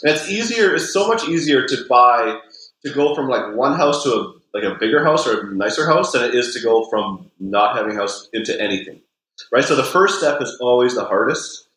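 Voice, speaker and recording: A realtor, a man, giving casual advice in a relaxed, filler-heavy way from his home office over a video call. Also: mid-range voice, a little fast. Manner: relaxed, repeats filler words. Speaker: realtor, podcast guest. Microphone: laptop mic on video call. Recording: roomy video call audio.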